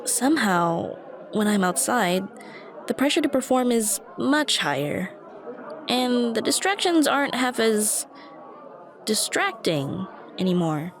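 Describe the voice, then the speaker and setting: A woman's voice: on the high side, sing-song, slow pace, exaggerated voice. A woman playing a shy, dorky young stranger in an ASMR roleplay, talking to the listener in a hesitant, self-conscious, rambling way. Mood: musing, rambling. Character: shy, dorky young woman, a friendly coffee shop regular. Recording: busy cafe chatter behind the voice. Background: coffee shop crowd ambience.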